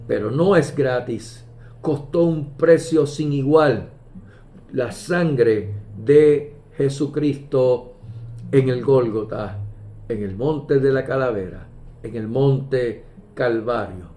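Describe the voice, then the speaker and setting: A man preaching a sermon, measured and emphatic, pausing often and quoting scripture. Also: medium pitch. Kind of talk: slow deliberate sermon. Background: soft guitar music.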